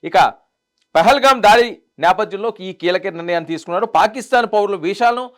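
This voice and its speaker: A man's voice: high voice, quick pace. A man delivering news commentary in an emphatic way, repeating phrases for stress. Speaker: news presenter.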